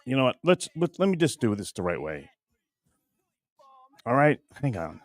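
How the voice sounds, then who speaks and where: A man hosting a casual comedy show, talking straight to viewers in a halting, stop-and-start way. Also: mid-range voice, sing-song, dry recording. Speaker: show host introducing a puppet bit.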